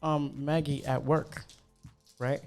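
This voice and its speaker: A young man from New York, a cook, chatting casually and animatedly with slang and quick asides. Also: a little high, flat delivery, darkish tone, soft background music. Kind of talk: casual chat.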